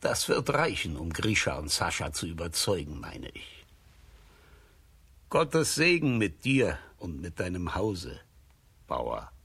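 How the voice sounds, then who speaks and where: Husky, slow, solemn blind prophet. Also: male voice, low-pitched, huge pitch swings, a bit bright, heavily acted. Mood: solemn, prophetic. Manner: measured, solemn, portentous. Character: solemn blind old wandering holy man, prophetic. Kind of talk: German children's radio play acting.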